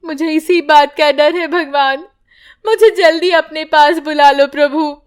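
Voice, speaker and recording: A woman's voice: sits high, steady tone, measured pace, pitched above the performer's own voice. A woman voicing a grieving young mother who scolds harshly but breaks down crying in prayer. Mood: tearful. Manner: scolding, then sobbing prayer.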